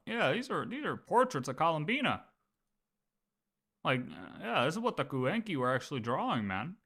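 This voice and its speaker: Bright, animated male streamer. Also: on the high side. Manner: informal, slangy. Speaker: livestreamer. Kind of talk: casual reaction commentary.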